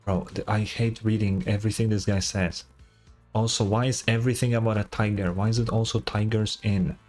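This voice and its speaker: A man with a husky voice giving casual, blunt, sweary reaction commentary as a game streamer. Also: fairly low pitch, level tone, a little fast, a little mellow. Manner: blunt, sweary, muttering asides. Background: faint game music.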